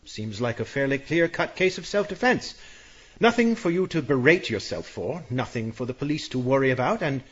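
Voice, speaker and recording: An actor playing an officious police inspector in a radio mystery, matter-of-fact and easily exasperated. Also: male voice, medium pitch, very animated, normal speed, breathy edge, highs cut off. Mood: official, reassuring. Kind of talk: radio mystery drama acting.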